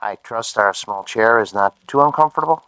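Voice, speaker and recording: A man's voice: on the low side, fairly quick, a bit bright, rough recording. A man playing a polite, methodical scientist in a radio drama, formal and precise as he gives instructions.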